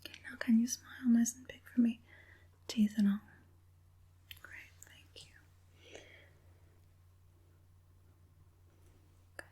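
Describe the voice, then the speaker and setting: A woman performs an evil witch puppet master in an ASMR roleplay. The voice is an extremely breathy, soft and dark whisper. She speaks slowly and coaxingly, with a sly, falsely soothing sweetness. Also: fairly high pitch, steady tone. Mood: softly focused. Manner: coaxing, unhurried, sinisterly sweet.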